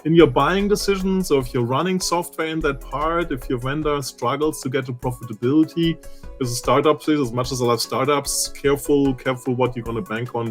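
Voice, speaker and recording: A man co-hosting a tech show as an analyst, giving emphatic, opinionated predictions. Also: mid-range voice. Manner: opinionated, emphatic with repeated words. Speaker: co-host analyst. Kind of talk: casual talk-show chat.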